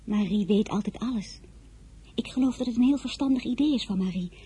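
A woman's voice: fairly high pitch, fairly quick, dark tone, slightly rough. A woman playing an earnest, curious fourteen-year-old girl in a radio play, naive and candid in manner. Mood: earnest, matter-of-fact. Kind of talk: radio play acting and diary narration.

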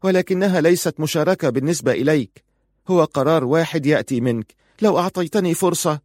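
A man's voice: fairly high pitch, fast, darkish tone, dry recording, studio quality, voice pushed up, lightly acted. A man voicing a passionate, pleading and defiant young wife in audiobook dialogue, insistent and emotional. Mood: defiant, wounded. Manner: insistent, emotional, bitter at times.

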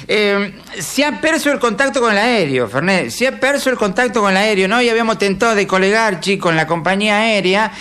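A man playing an excitable news correspondent giving a dramatic, repetitive live report in radio theatre. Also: high-pitched, brisk, hardly any pauses, smooth, voice pushed up. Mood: alarmed. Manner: dramatic, repeating himself.

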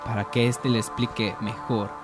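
A man's voice: mid-range voice, little pitch movement, moderate pace, over music. A man reading scripted narration for a radio soap opera.

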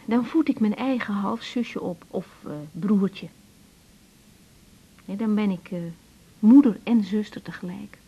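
Radio drama: young female doctor, introspective and hurt, soft dark tone, rough edge, muffled recording. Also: mid-range voice, unhurried. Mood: troubled, confiding. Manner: earnest, emotionally open, introspective. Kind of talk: naturalistic radio drama acting, diary-like monologues. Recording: dull radio recording with music.

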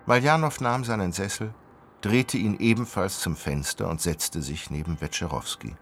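A man's voice: sits low, moderate pace, slight background noise. A man narrating a radio play in a scripted, literary storytelling style.

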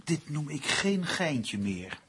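A man's voice: fairly high pitch, sing-song, slow, background noise. An actor in a radio drama playing a dry, unruffled male writer who is understated and conciliatory. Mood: worried, subdued.

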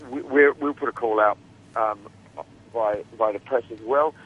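A man's voice: medium pitch, moderate pace, narrow, tinny audio. A man with an Australian accent, a guest producer talking casually in an interview, rambling with many restarts and fillers.

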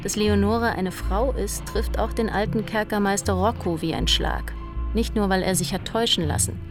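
A woman's voice: medium pitch, steady tone, normal speed. A woman reads scripted narration, telling a story as a narrator. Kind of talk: scripted opera plot narration. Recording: clear music behind the voice.

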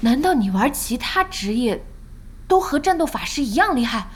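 A woman's voice: high-pitched, very animated, normal speed, slight background noise. An actress playing a spirited, bossy young female internet cafe owner in a Chinese audio drama, speaking frankly and expressively. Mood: incredulous.

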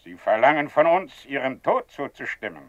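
An actor playing a stern presiding official of a guild in a radio drama, speaking in a formal, measured way. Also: male voice, mid-range voice, sing-song, no echo, muffled recording, close to an everyday voice. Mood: indignant, resisting. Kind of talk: German radio drama acting.

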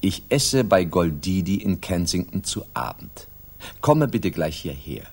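An actor playing a detective's male companion as narrator of a 1960s German radio play, husky and measured. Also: sits low, big pitch swings, darkish tone, heavily acted. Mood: measured, suspenseful. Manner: measured, literary.

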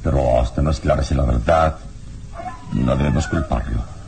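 An actor playing a calm, commanding heroic adventurer in a radio serial, speaking in a measured, formal, authoritative way. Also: male voice, very deep voice, gravelly. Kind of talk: radio serial drama acting.

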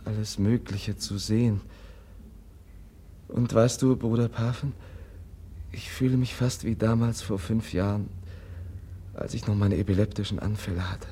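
A mild, earnest young prince in an old radio drama. His voice is dark, soft and husky. He speaks openly and searchingly. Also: lowish voice. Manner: soft, sincere, searching questions. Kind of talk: 1950s radio drama acting.

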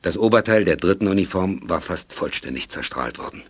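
A man's voice: deep voice, lively intonation, fairly quick, slightly rough. A man narrating a science fiction radio play in a scripted storytelling style. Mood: measured. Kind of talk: scripted audio drama narration.

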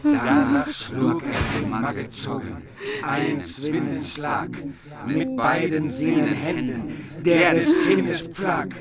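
A man's voice: fairly high pitch, huge pitch swings, slow pace. A man giving a theatrical, chanting recitation of medieval verse. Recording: loud music behind the voice.

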